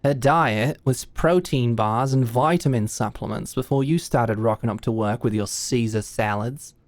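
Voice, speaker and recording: A woman's voice: very deep voice, moderate pace, a little mellow, no room sound. A woman playing a composed, wry senior corporate mentor who muses and confides in a scripted ASMR audio roleplay. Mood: fond, wry.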